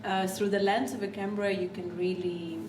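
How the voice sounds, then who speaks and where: A Belarusian woman in her thirties, a guest and association co-founder, speaking earnestly and in a measured way with frequent ums. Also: mid-range voice, level tone. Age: 30s. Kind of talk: earnest personal account. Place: café. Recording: far-off mic in an echoey café with noise.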